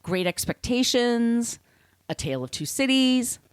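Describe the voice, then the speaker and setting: A woman's voice: fairly high pitch, big pitch swings, a little slow, clean tone, dry recording. An American woman hosting a podcast, telling a story from notes conversationally, with informal, wry asides. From United States. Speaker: podcast host.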